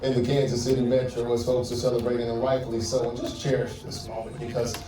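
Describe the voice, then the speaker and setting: A man co-anchoring live news, giving relaxed commentary. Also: medium pitch, fast, a little hiss or hum. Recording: echoey, distant.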